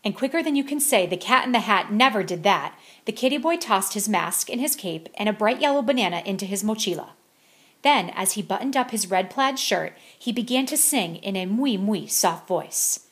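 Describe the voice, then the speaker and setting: A woman narrating a children's storybook as an expressive bedtime read-aloud. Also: mid-range voice, normal speed, husky.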